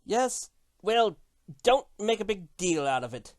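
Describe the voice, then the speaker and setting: Woman playing a proud dark empress pony, very animated. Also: mid-range voice, slow, dry recording. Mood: awkward, gruff. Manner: smug, reserved. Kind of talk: fan fiction dramatic reading.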